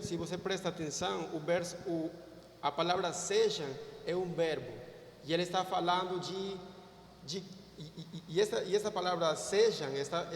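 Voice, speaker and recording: A Peruvian man whose first language is Spanish, preaching a sermon in Portuguese with a Peruvian Spanish accent, halting and repeating words as he searches for phrases. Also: high voice, a little hiss or hum. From Peru. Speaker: pastor. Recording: echoey hall, far from mic.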